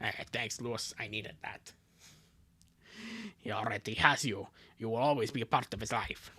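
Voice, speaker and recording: A man voicing a moody, defensive tomboy teenage big sister in a cartoon fan dub, blunt and halting. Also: on the low side, sharp tone, breathy edge, voice pushed down. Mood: soft, relieved.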